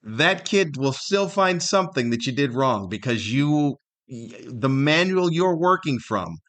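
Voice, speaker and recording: A man's voice: mid-range voice, fairly bright tone. American man, rambling casual podcast talk. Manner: rambling, conversational, acts out imagined remarks. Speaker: podcast host and comedian. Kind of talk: casual solo podcast monologue.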